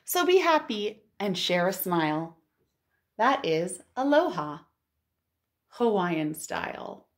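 A woman in her thirties hosting a storytime for young children, reading aloud and chatting in an expressive, exclamatory way. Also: mid-range voice, slow pace, long pauses. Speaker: children's librarian and storytime host. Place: living room at home.

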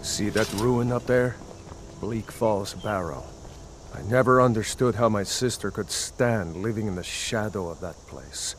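An actor playing a loyal, earnest, plain-spoken rebel soldier in a fantasy video game. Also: male voice, fairly low pitch, measured pace, background noise, close to an everyday voice. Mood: musing. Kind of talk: video game dialogue acting.

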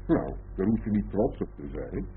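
Lively, teasing father, tinny radio. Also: deep voice, normal speed. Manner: wry, bantering. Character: joking middle-aged father, teasing, breezy, affectionate. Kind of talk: Dutch radio play acting. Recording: muffled phone-like old radio recording, hissy.

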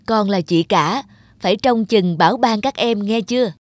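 A woman's voice: mid-range voice, moderate pace, dry recording, clean studio sound, lightly acted. Woman as a motherly cartoon teapot. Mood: gently cautioning. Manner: motherly, instructive. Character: cautious, caring mother teapot. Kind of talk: cartoon character acting.

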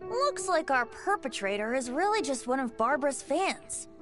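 A playful young male bard from a fantasy game. The boyish voice is high and swings freely, theatrical and eloquent, with music behind it. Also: big pitch swings, moderate pace. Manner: theatrical, flowery, teasing.

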